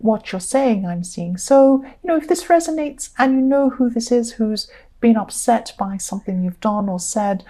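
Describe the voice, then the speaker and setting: A woman giving a tarot reading with a slightly croaky voice, talking gently and reassuringly with lots of "you know" fillers. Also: a little high, animated, normal speed. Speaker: tarot reader.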